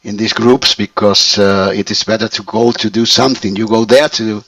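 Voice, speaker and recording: A Spanish-accented man in his fifties works through his English sentences slowly, restarting often and filling gaps with "uh". He sits back from the microphone on a call, so his voice sounds distant. The sound is dry, with little room to it. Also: lowish voice, steady tone, a bit bright, dry recording. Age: 50s. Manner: hesitant, many restarts and fillers. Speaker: language student. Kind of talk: conversation practice over a call.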